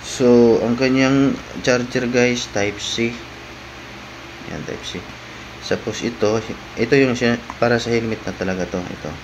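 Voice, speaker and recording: A man giving a casual, halting unboxing narration as a vlogger, often tagging phrases with a questioning word. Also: mid-range voice, steady tone, noisy background.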